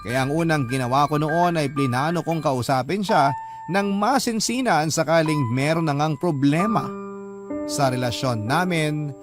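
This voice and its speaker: A man's voice: fairly high pitch, moderate pace, music in the background, close to an everyday voice. A man acting as a devoted young letter sender, sincerely and respectfully narrating his love story in a radio drama with a Filipino accent. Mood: wistful.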